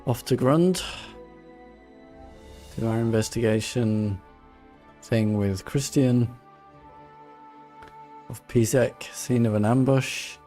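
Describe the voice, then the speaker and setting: A man's voice: mid-range voice, slow pace, long pauses, mellow, faint music behind. A man giving casual playthrough commentary on a video game, thinking aloud and muttering to himself as he plays. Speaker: streamer playing a video game.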